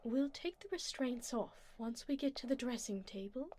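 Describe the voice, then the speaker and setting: A woman playing an aristocratic, predatory yet tender vampire duchess in an ASMR roleplay, speaking softly and formally and slipping into whispers. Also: fairly high pitch, lively intonation, moderate pace, a little hiss or hum, close to an everyday voice. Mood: doting, commanding. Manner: refined, formal, gently commanding.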